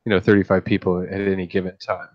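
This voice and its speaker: A man with a deep voice who streams about fish keeping, chatting casually late at night and thinking aloud with many restarts. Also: level tone, quick pace, highs cut off.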